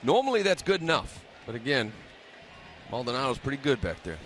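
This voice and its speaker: A man's voice: medium pitch, sing-song, fairly quick, a bit bright, slight background noise. A man giving color analysis during a live baseball radio broadcast.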